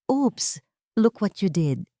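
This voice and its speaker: A woman's voice: medium pitch, big pitch swings, slow, warm tone, dry recording, studio quality. A woman voicing a haughty, scheming best friend in an audio drama, snappish, bossy and menacing. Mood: angry, bullying. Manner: snappish, domineering, commanding.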